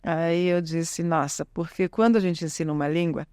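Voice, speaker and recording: A woman's voice: on the low side, fairly even intonation, normal speed, a little mellow, smooth, no room sound, studio quality. An Italian-born woman whose first language is Italian, talking in a chatty way as an interview guest, with frequent tag questions and restarts. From Italy. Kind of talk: casual conversational interview.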